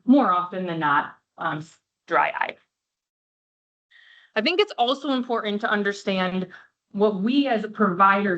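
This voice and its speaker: A woman eye doctor giving a passionate health presentation to an audience, with a big voice, speaking away from the microphone. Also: medium pitch. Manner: warm, passionate, clear explaining. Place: room with a live audience, talking away from the mic. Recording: dull lo-fi room recording.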